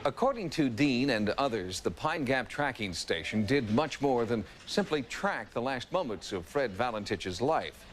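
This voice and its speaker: A man's voice: medium pitch, expressive, moderate pace, hardly any pauses, over music. A man narrating a documentary from a script in a dramatic style.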